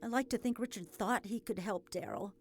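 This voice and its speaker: A woman playing a grieving, hesitant farm widow in an audio drama, speaking in a halting, emotional way. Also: mid-range voice, close to an everyday voice. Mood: hesitant, troubled. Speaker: voice actor.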